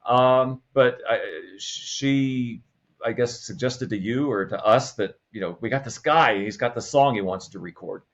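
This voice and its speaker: Slightly muffled lo-fi recording, american guy guesting on a chat, halting delivery, medium pitch. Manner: halting, repeats words while reminiscing. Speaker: podcast guest, radio comedy writer and producer. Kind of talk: casual interview chat.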